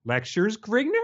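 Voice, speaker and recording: A man's voice: sits high, fairly bright tone, muffled recording. A man co-hosting a casual book discussion, joking wryly as he comments. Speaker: podcast co-host.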